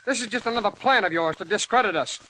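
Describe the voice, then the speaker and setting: An actor playing a bitter, defiant war veteran turned farmer in 1940s radio drama style, blunt, combative and folksy. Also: male voice, sits high, fast talker, sharp tone. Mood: indignant. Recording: noisy old-time radio recording.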